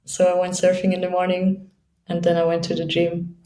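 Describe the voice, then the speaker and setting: A woman's voice: fairly low pitch, completely flat delivery, a little fast, mellow, reverberant, poor audio. A young woman from Austria with an Austrian accent, an athlete talking casually in an interview and laughing often between phrases. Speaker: guest, downhill skateboarder.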